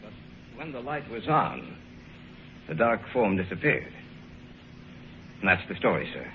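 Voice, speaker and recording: An actor playing an earnest, worried young fiancé who tells a strange tale in an old radio horror drama. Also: male voice, fairly low pitch, sing-song, a little slow, slight background noise. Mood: earnest, anxious. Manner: earnest, sober. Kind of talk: 1940s radio acting, dramatic narration. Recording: narrow-band old radio with loud music.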